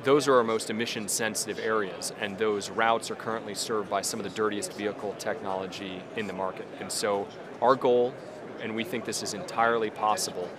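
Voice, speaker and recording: A man in his thirties, a company executive, giving an earnest promotional interview in a busy trade show hall. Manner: earnest, fluent pitch. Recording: crowd chatter behind.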